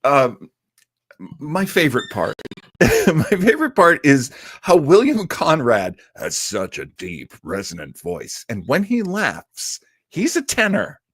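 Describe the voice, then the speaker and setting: Slow, very animated male show host. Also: a little high, breathy edge, no echo, studio quality. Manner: amused, halting, enthusiastic. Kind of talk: casual unscripted commentary.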